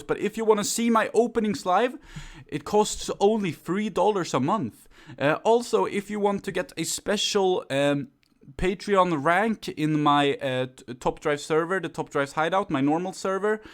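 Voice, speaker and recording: Young Swedish man with a high voice. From Sweden. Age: 20s. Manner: chatty, many fillers and restarts. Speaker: YouTuber. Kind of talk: casual Q&A vlog. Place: home room. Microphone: phone camera.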